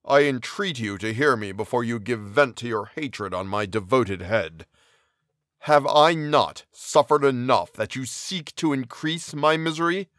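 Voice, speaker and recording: A man voicing an anguished, towering male creature who speaks slowly and solemnly in an audiobook reading. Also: medium pitch, fairly bright tone, no echo, studio quality, close to an everyday voice. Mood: pleading. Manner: slow, heavy, solemn delivery.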